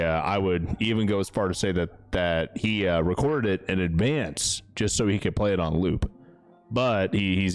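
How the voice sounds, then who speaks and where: A man with a deep, smooth voice giving casual live commentary as he plays a game, chatting away to his characters. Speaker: game streamer, host. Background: music.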